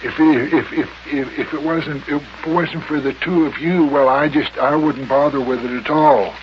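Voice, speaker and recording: An actor playing a folksy, flattering older mayor who stammers and cajoles in a 1950s children's radio serial. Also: male voice, on the high side, close to an everyday voice. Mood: pleading. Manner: halting, stammering, cajoling, chuckling. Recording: dull, noisy old radio recording.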